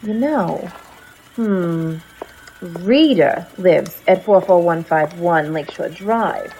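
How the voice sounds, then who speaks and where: An actress playing an eager, naive young intern who hesitates and keeps trying to get a word in. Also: female voice, lowish voice, slow, dark tone, dull audio, a little hiss or hum.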